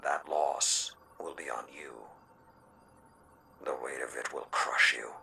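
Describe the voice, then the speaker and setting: An actor voicing a grim, hard-bitten old war veteran in a video game, with a breathy growl and a stern, ominous, deliberate delivery. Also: male voice, a bass voice, steady tone, sharp tone, full character voice. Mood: grim, urgent warning. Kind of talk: dramatic game cutscene monologue. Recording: distant voice with faint noise.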